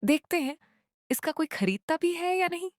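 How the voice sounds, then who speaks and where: A woman's voice: very high voice, animated, moderate pace, no echo, studio quality, voice pushed up. A woman narrator voicing a jealous, mocking rival street food seller in a cartoon moral story.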